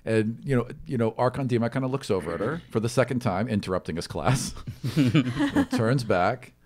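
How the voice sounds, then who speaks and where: A man's voice: medium pitch, lively intonation, normal speed, a little mellow. A man acting as game master, improvising fantasy narration in a colloquial, storytelling way.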